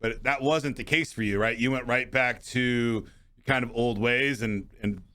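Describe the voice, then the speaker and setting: A man's voice: mid-range voice, a little slow, bright, forceful tone. A man hosting a business podcast, asking questions with enthusiasm. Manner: enthusiastic, friendly. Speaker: podcast host. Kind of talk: interview over video call. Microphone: studio microphone.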